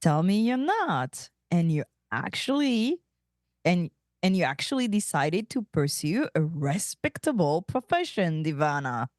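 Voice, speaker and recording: An older woman, acted out: space pirate, hard and disdainful. Her low voice moves expressively, curt and scornful, recorded close and dry. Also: very animated, measured pace, a little mellow, no room sound. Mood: disappointed. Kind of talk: fan script table read.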